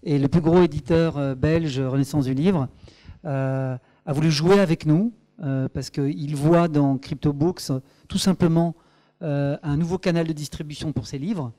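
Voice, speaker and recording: A man's voice: fairly high pitch, measured pace, warm tone. A man from Brussels with a Belgian French accent, presenting his startup at a meetup, hesitant with many fillers and then emphatic. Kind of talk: conference presentation.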